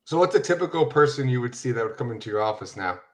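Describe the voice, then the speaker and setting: A man's voice: mid-range voice, lively intonation, quick pace. A man hosting a casual interview show, upbeat and easygoing with loose phrasing. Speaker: podcast host.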